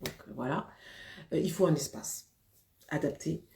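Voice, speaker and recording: Femme chaleureuse dans une pièce qui résonne. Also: female voice, deep voice, unhurried, gravelly, warm tone, reverberant. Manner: chatty, laughs often, greets viewers by name. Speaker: Vodou priestess hosting a live stream. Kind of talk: casual live-stream chat.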